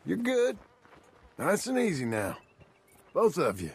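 An actor playing a patient middle-aged American cowboy ranch hand in a video game, with a gravelly voice and a reassuring drawl. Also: male voice, sits high, wildly swooping pitch, slow, a little mellow, full character voice. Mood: calm, reassuring. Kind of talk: naturalistic video game cutscene acting.

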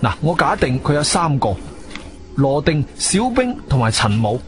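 A man's voice: a little high, very animated, a little slow. An actor with a Guangzhou Cantonese accent playing an inquisitive, self-assured investigator who tells his own story in a theatrical radio drama style. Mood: insistent. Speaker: narrator and lead actor. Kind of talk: Cantonese radio drama, first-person narration and dialogue. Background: music.

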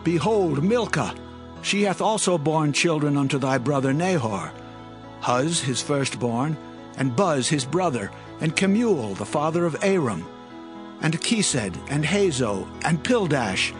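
A man's voice: on the high side, lively intonation, unhurried, music in the background. A man playing a messenger in a dramatized scripture reading, announcing tidings in a declamatory way. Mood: eager, announcing. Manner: declamatory, clearly listing. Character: male messenger bringing family tidings.

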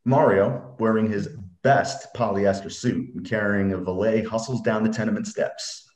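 A man's voice: lowish voice, steady tone, normal speed, a little mellow. A man reading screenplay stage directions as narrator in a scripted reading. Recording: roomy echoing computer mic.

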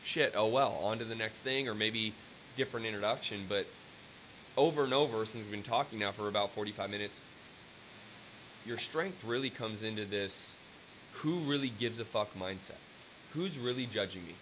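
Bright, hyped-up male host with hiss. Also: lowish voice, moderate pace, poor audio. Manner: energetic, swearing, pep-talk hype. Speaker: interview host. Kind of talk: casual podcast chat. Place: indoor room with brick wall. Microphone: webcam. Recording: faint hiss on video call audio.

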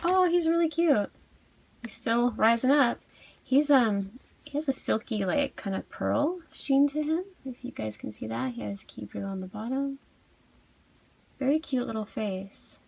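A woman giving a casual unboxing review as a video host, cooing softly over cute things. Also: on the high side, measured pace, vocal fry, slightly rough, poor audio. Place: indoors at a tabletop.

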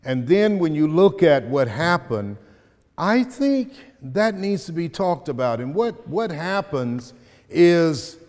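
A middle-aged man telling stories and reciting his poetry with slow, deliberate phrasing and dramatic pauses, recorded by a distant camera in a large hall. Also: fairly high pitch, very animated. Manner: slow, deliberate, dramatic pauses, rising to loud emphasis in verse. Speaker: poet and community speaker. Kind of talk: storytelling talk and spoken-word poetry. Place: large bright hall with tall arched windows, indoors. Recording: hall reverb from a large room.

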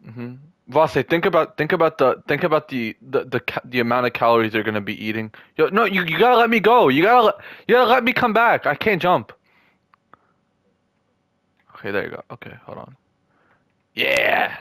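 A man's voice: a little high, sing-song, a little fast, a bit bright, dry recording, clean studio sound. A young man in casual gaming chat with a friend, joking and trash-talking and often repeating his words. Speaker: gamer.

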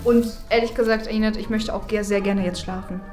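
A young woman playing a gloomy teenage schoolgirl in an improvised web series, halting and matter-of-fact. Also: mid-range voice, fairly even intonation, a little mellow, lightly acted. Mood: indifferent, tired. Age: young adult. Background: background music.

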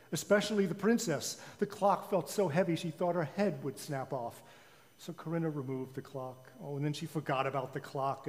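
A man telling a children's story live in a theatrical way, with deliberate pauses. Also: fairly high pitch, darkish tone. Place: large church sanctuary. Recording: reverberant church hall.

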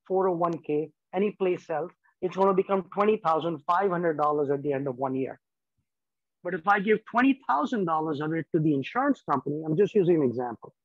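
A man's voice: on the high side, dry recording. A man in his fifties, an insurance speaker, giving an estate planning lecture to a community group over a video call, gesturing and walking through money examples step by step. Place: small room at home, plain wall behind. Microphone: laptop webcam on a video call. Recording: dry, slightly dull video-call audio.

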